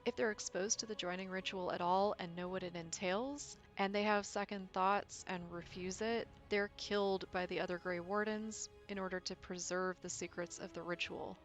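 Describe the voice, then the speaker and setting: Female narrator, mid pitch, measured and serious scripted lore essay, music behind. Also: steady tone. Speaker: video game lore narrator. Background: background music.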